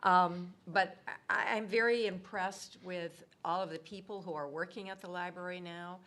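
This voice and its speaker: A woman council member giving a committee report at a meeting, speaking loudly, as she says herself. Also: lowish voice, steady tone, normal speed.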